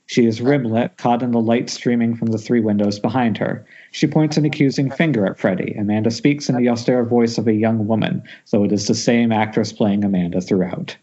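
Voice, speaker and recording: A man narrates screenplay directions in a mellow, somewhat raspy mid-range voice, occasionally tripping on words. It sounds dull, as if over an internet call. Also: mid-range voice, fairly even intonation, fairly quick, few pauses. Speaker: narrator reading stage directions. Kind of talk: screenplay table read. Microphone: Skype call.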